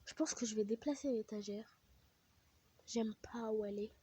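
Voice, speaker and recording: A woman's voice: mid-range voice, normal speed, dark tone, slightly rough. A woman with a French accent and a stuffy nose from a cold, chatting casually as she plays a game, easily annoyed and muttering asides. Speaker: gaming vlogger.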